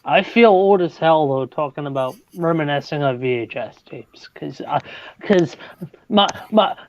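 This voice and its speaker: A man's voice: a little high, lively intonation, measured pace, darkish tone, no echo. A man in his late twenties hosting a casual livestream chat, stumbling and repeating words and laughing often. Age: 20s. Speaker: livestream host. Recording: dull lo-fi, dry.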